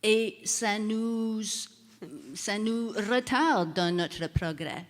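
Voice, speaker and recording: A woman speaking as an election candidate in a debate, deliberate and earnest. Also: medium pitch. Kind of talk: debate statement. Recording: reverberant hall.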